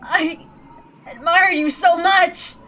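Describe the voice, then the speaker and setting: A woman's voice: extremely high pitch, steady tone, slow pace, pushed and bright, pitched above the performer's own voice, exaggerated voice. A woman voicing a frightened, wounded young man in a visual novel, pleading breathlessly through tears and pain. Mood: tearful, pleading. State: crying in pain. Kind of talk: dramatic visual novel character reading.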